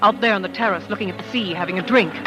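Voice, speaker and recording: An actress playing a shaken young wife who narrates a murder discovery in a hushed, dramatic 1940s radio style. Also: female voice, mid-range voice, rapid-fire, lightly acted. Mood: haunted, tense. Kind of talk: 1940s radio acting, first-person suspense narration. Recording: old radio recording with music underneath.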